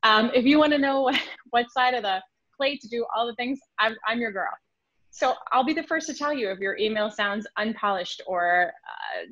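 A woman in her thirties, from Switzerland with French as her first language, talking quickly and animatedly as a guest on a podcast interview over a video call. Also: a little high, dry recording. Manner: animated, quick, gestures with hands, acts out emails. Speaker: sales consultant guest. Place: home room on video call. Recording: dull, dry video call audio.

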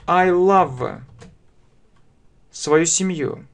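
A man in his thirties with a Russian accent, teaching a language lesson very slowly, spelling out phrases word by word and repeating them at a desk webcam. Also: a little high, expressive, gravelly. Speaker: English teacher. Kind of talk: slow step-by-step language lesson. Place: small room indoors.

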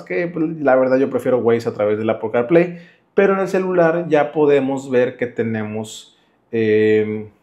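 A man's voice: medium pitch, darkish tone. A man with a Mexican accent presenting a casual step-by-step tutorial, speaking directly to the viewer in an instructional way. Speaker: motorcycle vlogger and presenter.

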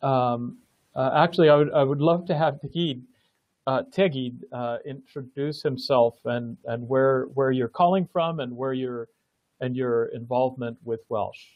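A man's voice: mid-range voice, fairly even intonation, a little slow, no echo. A man hosting an online planning meeting, talking through slides with many fillers and restarts over a video call. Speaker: meeting host presenting a program. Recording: dull lo-fi call audio.

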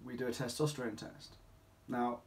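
A middle-aged man speaking as a clinic doctor, explaining to camera in an emphatic, deliberate way with rhetorical questions. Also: medium pitch, fairly even intonation. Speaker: doctor at a men's health clinic. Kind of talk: informal explainer talk to camera. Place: small home office or consulting room, indoors.

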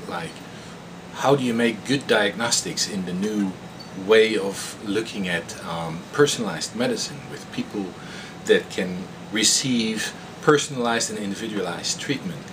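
Male, 30s, Dutch accent, researcher doing an informal piece to camera. Lowish pitch, noticeable room noise. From Netherlands. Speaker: postdoctoral researcher. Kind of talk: informal self-introduction to camera. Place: indoors in a laboratory. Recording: clear steady room noise in lab.

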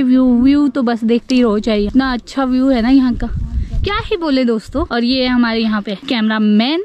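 A woman's voice: high-pitched, lo-fi sound, slight background noise. A young woman chatting and narrating her vlog outdoors on a sunny hillside, laughing and teasing as she goes. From Himachal Pradesh, India. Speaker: vlogger. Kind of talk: casual vlog narration to camera.

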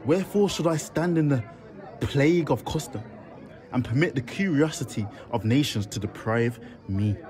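A defiant, resentful bastard son played by a man declaims old stage verse indignantly. His medium-pitched voice sits among busy crowd chatter. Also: expressive, moderate pace, mellow, close to an everyday voice. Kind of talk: Jacobean stage soliloquy in audio drama.

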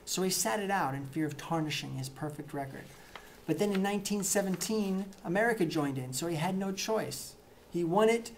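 A middle-aged man reading satirical excerpts to camera in a deadpan, mock-earnest way, in a plain indoor room. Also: fairly high pitch, slight background noise, rough recording. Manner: mock-earnest, punchy, with gestures. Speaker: reader of satirical book excerpts.

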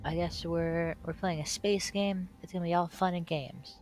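A woman streaming a video game, chatting casually and reading on-screen text aloud haltingly while she loses her voice. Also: on the low side, fairly even intonation, a little mellow, faint music behind. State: losing her voice.

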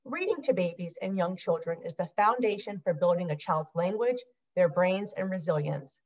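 A woman's voice: lowish voice, animated, normal speed. A woman in her thirties speaking as a program host, in a scripted style, to camera on a video call. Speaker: program manager hosting an introduction. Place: small room indoors at home. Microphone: computer microphone on video call. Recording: muffled video call audio.

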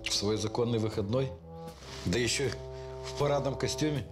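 An actor playing a methodical prosecutor's investigator who questions witnesses in a measured, dry, ironic way, in a crime reenactment. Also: male voice, mid-range voice, lightly acted. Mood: probing. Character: shrewd, methodical male investigator, calm and probing. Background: background music.

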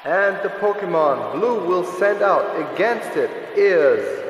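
A man voicing a bombastic, excitable battle commentator in an anime-style dub. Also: high voice, takes it slowly, slight background noise. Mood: excited, dramatic. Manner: bombastic, announcing. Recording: echoey hall reverb, music behind.

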